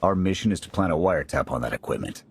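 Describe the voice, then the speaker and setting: A seasoned special forces major, his voice deep and husky. He briefs his team in a clipped, businesslike military manner, every line sounding like an order from someone used to leading troops in a video game war. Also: animated, fast, exaggerated voice. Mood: briefing. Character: steady male special forces major, seasoned field leader. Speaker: military squad commander. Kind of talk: video game cutscene acting.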